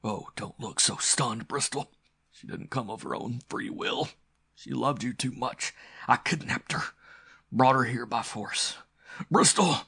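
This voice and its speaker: A man voicing a dying, bitterly proud male aristocrat villain in a pulp audiobook, with a strained, gasping croak that drops into breathy whispers. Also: medium pitch, pushed and bright, pitched above the performer's own voice, exaggerated voice. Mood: taunting, confessional. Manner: halting, strained, melodramatic. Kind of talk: audiobook character acting of pulp story.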